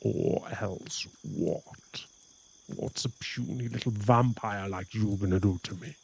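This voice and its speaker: A man's voice: lowish voice, takes it slowly, gravelly, slightly rough, full character voice. A man voicing a cocky, possessive male werewolf bully in an ASMR boyfriend roleplay, teasing and taunting in a smug drawl. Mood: taunting menace.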